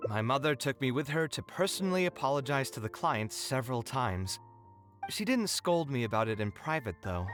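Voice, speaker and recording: A man's voice: mid-range voice, lively intonation, moderate pace, a bit bright, soft background music, lightly acted. A man playing an earnest, slightly wry young architect in an anime-style video game, speaking in an articulate, thoughtful, storytelling way. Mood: nostalgic, sheepish. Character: earnest young male architect reminiscing, expressive, a little wry.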